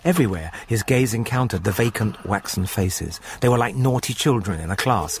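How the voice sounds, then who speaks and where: A man's voice: lowish voice, normal speed, slight background noise. A man narrating a radio horror story in a dramatic, scripted style, with music under his voice.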